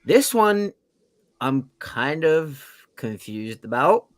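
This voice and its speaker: A man's voice: fairly high pitch, very animated, slow. A man co-hosting a podcast, presenting entertainment news in a casual, conversational way with some swearing. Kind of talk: casual, profane news chat.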